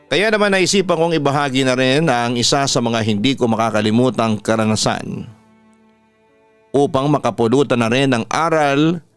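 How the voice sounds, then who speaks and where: Smooth Filipino male storyteller over music. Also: mid-range voice, normal speed, no room sound. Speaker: radio announcer and story host. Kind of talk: scripted first-person story narration. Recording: music playing behind the voice. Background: background music.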